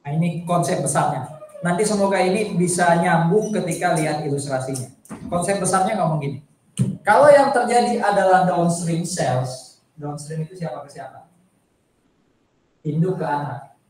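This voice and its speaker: In a strongly reverberant room, picked up from a distance, an Indonesian man lectures in a high-pitched voice. He explains informally, circling back over points and putting questions to his listeners. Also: normal speed, a little mellow, slight background noise. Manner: conversational, repeats points and poses questions to listeners. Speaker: accounting lecturer. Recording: echoey room, distant microphone.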